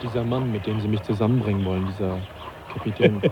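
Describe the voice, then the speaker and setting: A man acting in a German radio drama as a reserved, uneasy young gentleman naturalist, speaking in a sober, formal, dry way. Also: on the low side, steady tone, fast, lightly acted. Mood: sceptical, dry. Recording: dull, noisy 1980s radio drama.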